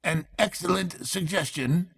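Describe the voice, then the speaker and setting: A man voicing a cheerful, pompous magistrate in a storybook, speaking formally with deliberate emphasis and relishing harsh judgement. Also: high voice, gravelly, fairly bright tone, breathy edge, dry recording, voice pushed up, heavily acted. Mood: approving.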